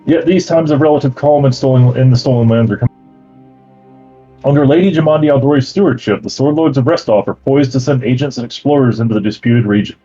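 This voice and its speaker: A man acting as game master, reading out campaign lore and then explaining the travel rules in a relaxed way with many fillers. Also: mid-range voice, fast, slightly rough, lo-fi sound, faint music behind.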